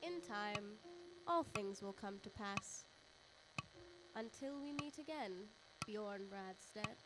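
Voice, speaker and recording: A woman playing a serene, cryptic immortal spirit in a radio drama, speaking in a deliberate, oracular way. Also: medium pitch, animated, rough recording. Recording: voice under loud music.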